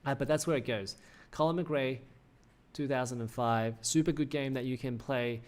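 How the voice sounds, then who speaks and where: A man's voice: mid-range voice, moderate pace, a little mellow. A man in his thirties hosting a casual gaming livestream, rambling with many fillers and restarts, his voice a little worn. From Australia. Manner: rambling, self-correcting, frequent "uh" fillers. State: slightly losing his voice. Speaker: livestream host.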